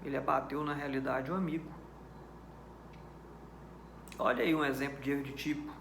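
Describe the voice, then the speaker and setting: A man's voice: medium pitch, flat delivery, normal speed, vocal fry, fairly bright tone, reverberant, slight background noise. A Brazilian man teaching criminal law in a lecture, explaining points step by step, repeating key ideas and checking that listeners follow. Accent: Brazilian Portuguese. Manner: didactic, repeats key points, checks understanding with rhetorical questions.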